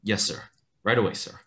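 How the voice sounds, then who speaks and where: Man as a low, bright-voiced sardonic orderly. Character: sardonic, deferential male asylum orderly. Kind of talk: radio play acting.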